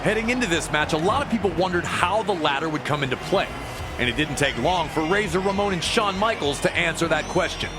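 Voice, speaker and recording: A man's voice: a little high, expressive, a little fast. A man delivering scripted, documentary-style sports narration in a dramatic storytelling manner, as a wrestling commentator. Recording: loud music behind the voice. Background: loud music.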